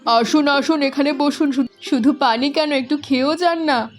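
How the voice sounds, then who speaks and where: An actress voicing a hospitable, warm-hearted village mother in a cartoon, speaking in a welcoming, earnest way. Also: sits high, moderate pace, soft background music, close to an everyday voice. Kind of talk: cartoon voice acting.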